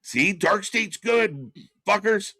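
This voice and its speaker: A man's voice: high-pitched, lively intonation, slow, pushed and bright, no echo, clean studio sound. A man co-hosting a live wrestling watch-along stream, wisecracking, laughing often and swearing. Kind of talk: casual live-stream commentary.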